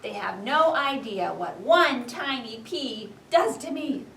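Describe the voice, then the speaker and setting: High-voiced woman teacher, echoey classroom. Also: slow pace. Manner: animated, dramatic when reading, clear instructional phrasing. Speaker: first-grade teacher. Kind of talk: expressive storybook read-aloud and classroom instruction. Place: classroom with young children. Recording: echoey classroom, distant, background noise.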